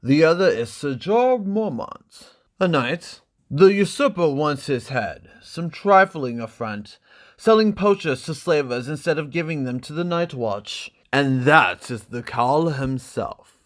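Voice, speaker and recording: A man's voice: fairly high pitch, measured pace, voice pushed up. Man putting on a fawning rich merchant. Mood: confiding. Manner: flattering, grandly courteous. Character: fawning wealthy male merchant, smooth flatterer.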